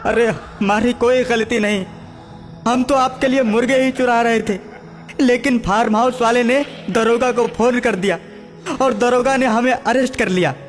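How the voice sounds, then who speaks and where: A man's voice: very high-pitched, fairly even intonation, a little slow, long reverb tail, voice pushed up. A man voicing a cowardly thief in a cartoon story, pleading and making excuses. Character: cowardly, pleading male thief, afraid of a jinn. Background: background music.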